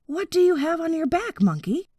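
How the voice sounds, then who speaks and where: A woman voicing a nosy storybook tortoise who asks questions in a quiet, deliberate way. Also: extremely high pitch, sing-song, no room sound, clean studio sound, pitched above the performer's own voice. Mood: inquisitive. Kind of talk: children's storybook character voice.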